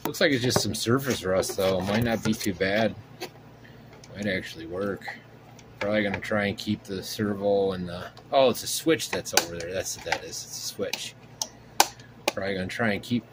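A man's voice: fairly low pitch, noisy background. A man hosting a casual hobby video at his home workshop bench, thinking aloud with lots of fillers as he handles his project. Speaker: RC hobby channel host. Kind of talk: casual workbench show-and-tell.

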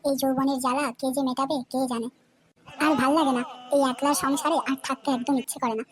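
A woman's voice: high voice, fairly even intonation, fast, close to an everyday voice. A woman voicing a lonely, love-starved young wife in a comic cartoon, talking wistfully. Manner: wistful, sighing. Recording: noisy homemade recording.

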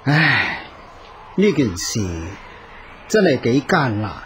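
An actor playing a learned, composed old feng shui master in a radio drama, with Hong Kong Cantonese speech and measured, old-fashioned phrasing. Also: male voice, mid-range voice, big pitch swings, heavy background noise. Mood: weary, pondering.

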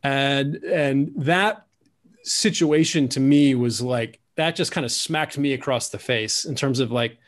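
A man's voice: mid-range voice, unhurried. A man in his forties, a guest author, telling stories animatedly on a video call with lots of gesturing and restarts. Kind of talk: video call conversation, storytelling. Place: room at home.

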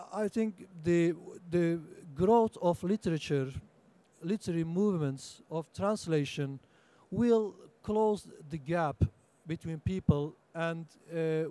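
Young kurdish-speaking guy on a panel, slowish and kinda high, lots of uh's and restarts. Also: dark tone, smooth. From Turkey (Kurdish). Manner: halting, with many fillers and restarts, gesturing. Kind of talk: panel discussion talk. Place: conference room at a book fair. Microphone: table microphone.

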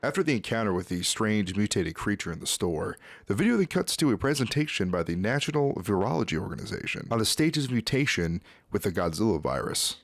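A man's voice: lowish voice, sing-song, brisk, few pauses. A man in his twenties narrating a scripted video recap in a casual, conversational storytelling way, close to a desk microphone. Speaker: YouTube host. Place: small dim home room, indoors.

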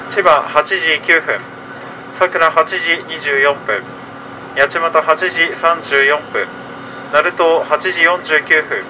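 A man working as a train conductor, making a formal, polite, routine announcement over the train's PA. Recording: train PA with clear running-train noise. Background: moving train rumble.

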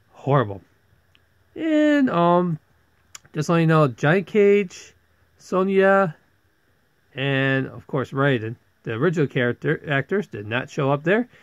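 A man's voice: a little high, no echo. A man giving a casual, rambling movie review as a video host, exclaiming in exasperation and sometimes stammering over his words. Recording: dull, lo-fi close microphone.

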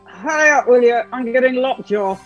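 A woman's voice: fairly high pitch, moderate pace, highs cut off, faint music behind, lightly acted. An actress playing a haughty, vain wicked queen in a comic pantomime, with a grand, impatient theatrical manner. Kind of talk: comic pantomime acting over video call.

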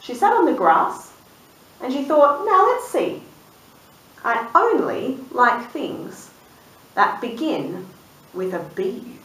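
A woman in her thirties reading a picture book aloud to young children, expressive and sing-song, drawing out words and putting questions to the listener. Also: a little high, a little hiss or hum. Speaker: children's storyteller and channel host. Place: indoors in a room, seated before a dark backdrop. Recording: roomy, distant microphone.

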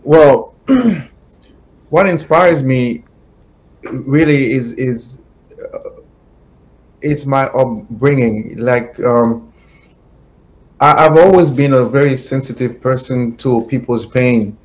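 Slow, halting man on a video call. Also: medium pitch, rough recording. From Haiti. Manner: slow, halting, many fillers. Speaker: guest, attorney. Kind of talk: reflective interview answers. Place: home office, indoors. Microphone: webcam video call.

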